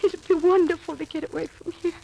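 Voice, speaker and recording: An actress playing a trusting, anxious, affectionate wife in an emotional, hesitant 1940s radio acting style. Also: female voice, extremely high pitch, fairly even intonation, fast, gravelly, dark tone, muffled recording. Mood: excited, cheerful. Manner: emotional, hesitant, breaking off and repeating words. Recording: old radio recording, faint noise.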